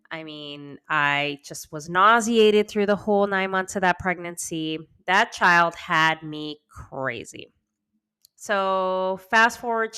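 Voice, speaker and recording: A woman's voice: fairly low pitch, pushed and bright, smooth. A woman in her thirties hosting a podcast, candidly telling her own story to listening moms, a little congested and nasal from a cold. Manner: candid, reassuring, speaks straight to listening moms. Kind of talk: personal storytelling monologue.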